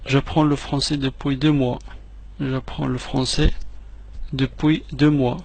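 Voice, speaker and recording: Gravelly guy teaching french, slow careful repeats, mid pitch. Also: little pitch movement, a little mellow, a little hiss or hum. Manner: slow, clearly separated repetitions, quick asides in another language. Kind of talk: repeat-after-me language drill.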